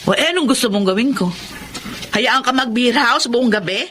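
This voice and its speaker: A woman's voice: fairly high pitch, fairly bright tone, a little hiss or hum, natural voice. A Filipino actress playing a fed-up fisherman's wife in a radio drama, nagging, confrontational and standing her ground. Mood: angry, reproachful. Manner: nagging, confrontational, rapid-fire questioning.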